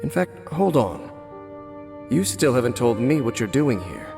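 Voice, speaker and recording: An actor playing a grave, brooding wandering swordsman who speaks in a slow, formal, solemn way in a game cutscene. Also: mid-range voice, big pitch swings, creaky. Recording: game audio with long reverb and music.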